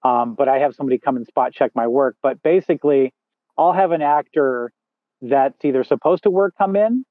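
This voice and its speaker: A man with a loud, booming voice talks loosely as a podcast guest, looping through fillers and restarts. The sound is thin and phone-like, with no room in it. His pitch sits at an ordinary middle height. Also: a little fast, dry recording. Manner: rambling, frequent fillers and restarts. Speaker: podcast guest, first assistant director. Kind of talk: casual interview chat. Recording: dry tinny phone-like sound.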